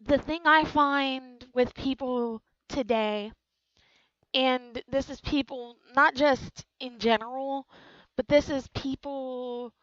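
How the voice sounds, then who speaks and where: A woman's voice: a little high, fairly bright tone, dry recording, muffled recording. A woman in her twenties, speaking frankly and earnestly about her own life, repeating key lines for emphasis. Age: 20s. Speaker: channel host. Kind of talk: personal testimony to camera.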